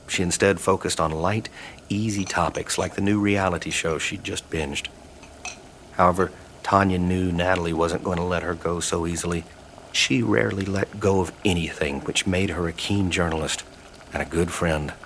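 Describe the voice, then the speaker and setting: A man narrating a supernatural horror audio drama in a measured, deliberate scripted style. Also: sits low, steady tone, a bit bright, slight background noise. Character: male third-person narrator of a supernatural horror story.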